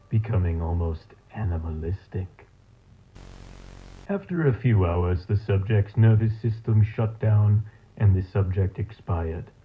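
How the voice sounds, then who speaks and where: An actor playing a coldly clinical mad scientist, dictating experiment logs in a formal, measured way for an analog horror audio drama. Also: male voice, on the low side, lightly acted. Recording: tinny, phone-like tape log sound.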